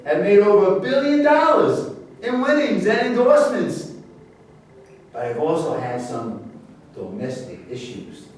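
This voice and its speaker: A man's voice: medium pitch, darkish tone, lightly acted. A man in a staged play reading, playing a famous, wealthy golfer. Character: famous, wealthy male champion golfer with troubles. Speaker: stage reader and narrator. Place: indoor room at staged reading. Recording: echoey room, far mic, faint noise.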